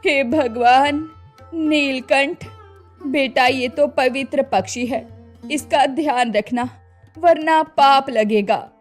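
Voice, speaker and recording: A woman voicing a poor, worried and loving old village mother who pleads slowly and tearfully. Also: sits high, soft background music.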